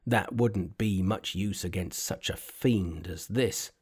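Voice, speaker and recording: A man's voice: low voice, a little mellow, husky, voice pushed down, exaggerated voice. A man doing a doubtful old farmer. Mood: skeptical. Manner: doubtful, grumbling, slow. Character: worried, skeptical elderly male farmer.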